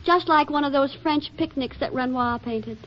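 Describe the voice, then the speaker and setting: An actress playing a chatty, playful and insistent young wife in an arch, emphatic 1940s radio comedy style. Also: female voice, high-pitched, moderate pace, natural voice. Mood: bustling, excited. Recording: muffled, noisy 1940s radio recording.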